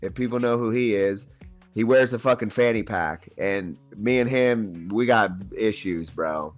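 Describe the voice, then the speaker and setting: A man's voice: on the low side, measured pace, faint music behind. A man in his late twenties, a wrestler chatting casually on a livestream in a laid-back, slangy way. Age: 20s. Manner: laid-back, slangy, says "bro" a lot. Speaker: wrestler guest.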